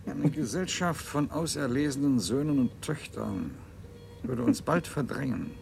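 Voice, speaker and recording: A man's voice: medium pitch, expressive, unhurried, creaky, darkish tone, slight background noise. A man playing a cold, self-satisfied scientist in a German sci-fi radio play, speaking theatrically and clinically, relishing each word. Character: cold, self-satisfied male scientist-ruler extolling his breeding system. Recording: radio drama with music underneath.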